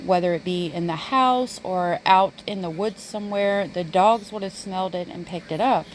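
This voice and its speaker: A woman's voice: lowish voice, no echo. A woman hosting a true-crime video, giving informal commentary on a case.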